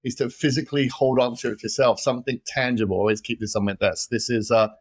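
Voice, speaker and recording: A man with a London accent, talking as a guest in an interview with lively energy, often repeating words as he starts a sentence. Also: mid-range voice, fast talker, no room sound, studio quality. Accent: London English. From London, England. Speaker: guest, gold investment expert. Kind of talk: casual interview talk.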